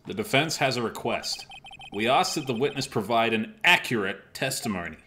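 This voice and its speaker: A man playing an earnest, excitable defense attorney, arguing emphatically in a fan dub of a courtroom game. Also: medium pitch, normal speed. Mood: assertive. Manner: emphatic, argumentative.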